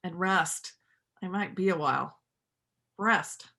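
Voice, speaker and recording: A woman's voice: on the low side, slow pace, creaky, pushed and bright, exaggerated voice. A woman playing a kind, plain-spoken widow who works with farm animals, in a reader's theater play. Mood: practical, caring. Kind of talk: stage reader's theater dialogue.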